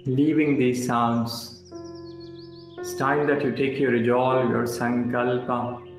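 Male meditation guide, mid-pitched and slow, calm and instructional, roomy sound with music beneath. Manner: calm, instructional, short phrases with pauses. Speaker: yoga instructor. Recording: roomy echo with music underneath.

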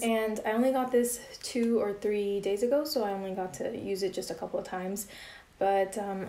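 A woman's voice: mid-range voice, dark tone, reverberant. A young woman reviewing beauty products in a casual, chatty way, full of ums and likes, her voice worn from losing it. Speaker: beauty vlogger. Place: indoor room with plain grey wall.

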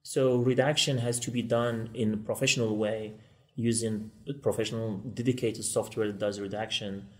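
A man from Morocco, a law school guest, speaking quickly and earnestly in a podcast interview. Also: on the low side, level tone.

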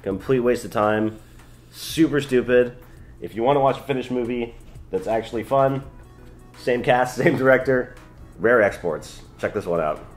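A man's voice: mid-range voice, moderate pace, faint music behind. A man in his twenties co-hosting a film review show, chatting casually in a small living room.

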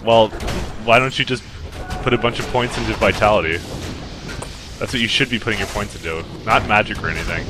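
A young man with a lowish voice talks easily through a co-op game session, breaking into chuckles and "uh"s as he explains things. Loud game music and battle noise run behind his voice-chat audio. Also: fairly quick. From Canada. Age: 20s. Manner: laid-back, chuckles often, trails off with fillers. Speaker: let's play co-host. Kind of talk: casual gaming chat. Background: game music and battle sounds.

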